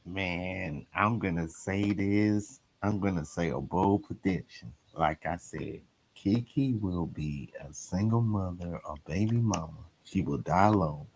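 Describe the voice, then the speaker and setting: A man's voice: deep voice, a little slow, darkish tone, breathy edge, poor audio. A man giving blunt, casual opinions as a guest on a panel. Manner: blunt, declarative.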